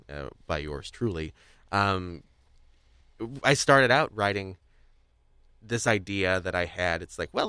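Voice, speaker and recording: A man hosting a conversational podcast interview, speaking in a halting, rambling way as he searches for words. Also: on the low side, fairly bright tone, smooth, no room sound, clean studio sound. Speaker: podcast host.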